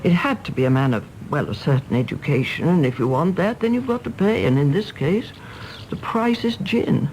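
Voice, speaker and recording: A woman's voice: sits low, expressive, darkish tone, slight background noise, rough recording. Bossy, swooping elderly English mother. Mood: fretful. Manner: imperious, chiding, brisk with endearments. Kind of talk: stage-play radio drama acting.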